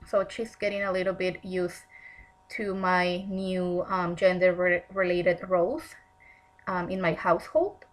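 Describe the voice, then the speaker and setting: A woman's voice: lowish voice, low-quality recording. Earnest thirty-year-old woman. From Mexico. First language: Spanish. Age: 30s. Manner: earnest, measured, with frequent ums. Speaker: graduate student. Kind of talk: reading a prepared self-introduction.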